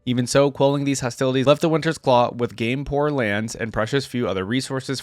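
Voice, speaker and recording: A man's voice: mid-range voice, no room sound. Man hosting reactions, dry close sound. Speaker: host of a reaction channel. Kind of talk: casual reaction commentary with reading aloud.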